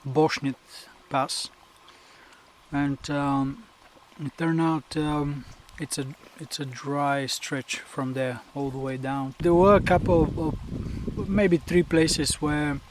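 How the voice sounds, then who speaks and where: A young man, a native Bulgarian speaker, vlogging on a mountain trail, talking to a handheld camera with many pauses and fillers. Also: a little high, little pitch movement, slight background noise. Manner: slow, hesitant, many fillers. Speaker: hiking vlogger. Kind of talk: casual trail vlog.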